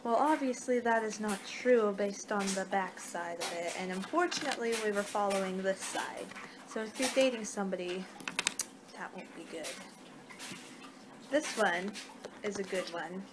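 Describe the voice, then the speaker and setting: A young woman doing an ASMR card-reading role-play with a halting, unhurried delivery. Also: medium pitch. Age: young adult. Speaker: ASMR performer in a fortune-teller role-play. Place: small home room, indoors. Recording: noisy amateur webcam-style room recording.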